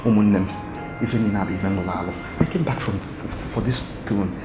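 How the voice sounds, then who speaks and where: A man's voice: on the low side, loud music behind the voice, lightly acted. A man playing a stammering, guilty prince. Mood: remorseful. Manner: stammering, halting.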